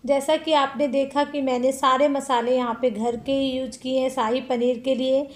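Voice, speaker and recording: High-voiced woman explaining a home recipe. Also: level tone, normal speed. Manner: chatty, addresses viewers as friends. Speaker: cooking channel host. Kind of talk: friendly step-by-step recipe narration. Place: home kitchen at the stove.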